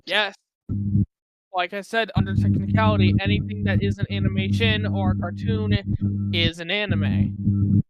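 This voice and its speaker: A man's voice: high voice, big pitch swings, moderate pace, long pauses, dry recording, highs cut off, faint music behind. A man co-hosting a casual podcast, explaining terms in a lecturing way. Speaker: podcast co-host.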